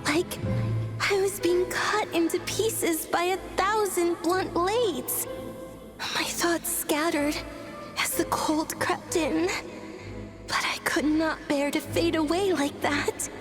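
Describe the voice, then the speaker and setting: Slow, high-voiced sorrowful goddess. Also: female voice, steady tone. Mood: sorrowful, wistful. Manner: slow, solemn, poetic delivery. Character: dying moon goddess, sorrowful and tormented, fading soul. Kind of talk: dramatic video game voice acting. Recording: music behind the voice.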